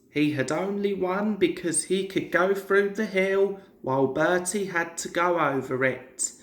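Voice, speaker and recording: A woman reading a children's story aloud as scripted narration, with a measured delivery. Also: medium pitch. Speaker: storybook reader.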